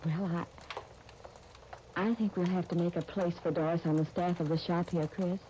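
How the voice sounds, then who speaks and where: A woman's voice: lowish voice, fairly even intonation, moderate pace, mellow, close to an everyday voice. An actress playing an earnest, patient American career woman in her thirties in a 1930s radio serial. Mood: earnest, hesitant. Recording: dull, noisy 1930s radio recording.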